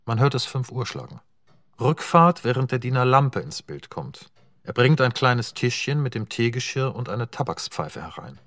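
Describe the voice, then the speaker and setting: A man narrating stage directions in a radio drama, reading in a matter-of-fact, even way. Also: mid-range voice, normal speed, no room sound. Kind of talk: scripted narration.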